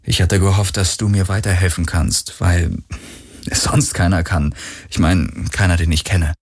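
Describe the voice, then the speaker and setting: A man voicing a weary, kind young spaceman in a low, gentle, plainspoken way for an audiobook. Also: fairly even intonation, normal speed, husky. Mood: earnest. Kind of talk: audiobook character voice.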